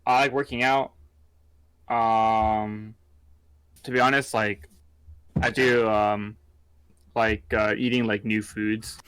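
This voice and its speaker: A young college-age man from California chatting casually as a guest, halting and self-effacing. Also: fairly low pitch, little pitch movement, fairly bright tone, clean tone, no room sound. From San Diego, California. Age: young adult, college student. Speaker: talk show guest. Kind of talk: casual chat.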